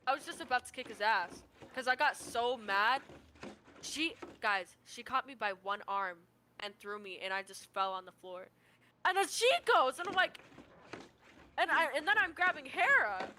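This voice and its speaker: A woman's voice: a little high, moderate pace, bright, forceful tone, a little hiss or hum. A young American woman telling stories in casual chat, with a slangy, sweary, rambling manner. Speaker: livestreamer.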